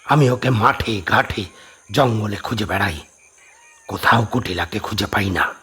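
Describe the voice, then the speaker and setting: A man acts a solemn spiritual adept with occult powers in a horror audio play. The voice is very husky and breathy. The speech is grave and deliberate, broken by pauses. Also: fairly low pitch, sharp tone, full character voice. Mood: grave, mysterious. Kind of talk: Bengali horror audio drama acting.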